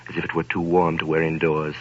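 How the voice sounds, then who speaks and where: A man narrating a 1930s radio drama in a measured storytelling manner. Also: a bass voice, monotone, breathy edge. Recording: dull 1930s radio broadcast with music.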